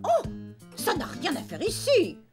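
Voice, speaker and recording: Vain, high-voiced cartoon mother. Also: huge pitch swings, measured pace, over music, exaggerated voice. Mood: indignant. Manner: pompous, dramatic exclamations. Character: vain, theatrical, fussy mother of a schoolboy. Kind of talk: French cartoon dubbing.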